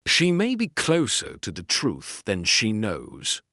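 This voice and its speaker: A man voicing a sharp, cool-headed Victorian detective who speaks in measured deductions. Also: on the low side, wildly swooping pitch, dry recording, studio quality, heavily acted.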